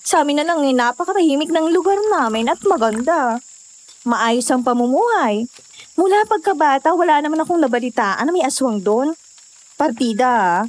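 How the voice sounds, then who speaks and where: A woman with a Filipino accent playing a cheerful, skeptical young hiker in a conversational audio drama. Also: high-pitched.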